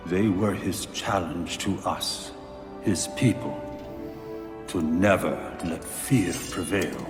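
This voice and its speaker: An actor playing a stern older mentor who consoles a young king in a grave, measured way in a game cinematic. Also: low voice. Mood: solemn. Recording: game cinematic audio with music and echo.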